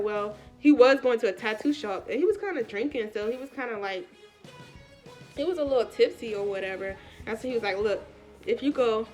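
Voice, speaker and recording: An American woman vlogger with a congested, stuffy voice, chatting casually through a personal story in colloquial speech and acting out the dialogue as she goes. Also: mid-range voice. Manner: colloquial, animated retelling with quoted dialogue.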